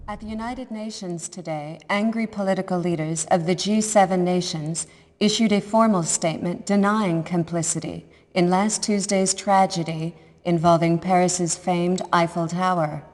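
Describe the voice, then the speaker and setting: Woman acting TV news anchor, lowish pitch, formal, measured, serious, scripted report, game cutscene, reverberant. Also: fairly even intonation, darkish tone, lightly acted. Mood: grave, formal.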